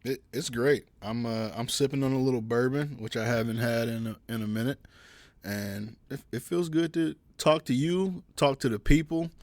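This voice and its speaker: A young father co-hosting a relaxed podcast, with a voice in the middle of the male range. He talks in an easygoing way, often stopping and restarting. The recording is dry and close. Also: medium pitch, normal speed, dry recording. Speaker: podcast co-host. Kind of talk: casual chat.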